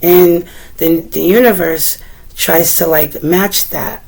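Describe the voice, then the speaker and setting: A woman tarot reader with a deep, slightly rough voice, still getting over a cold, talking gently and meandering as she speaks to the viewer and reads aloud from a guidebook. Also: slow, a little hiss or hum, rough recording. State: recovering from a cold. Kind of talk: casual tarot reading, partly read aloud from a guidebook.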